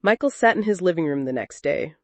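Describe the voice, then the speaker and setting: Expressive woman storyteller, lively dry voice. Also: lowish voice, brisk, no room sound. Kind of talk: dramatic scripted narration.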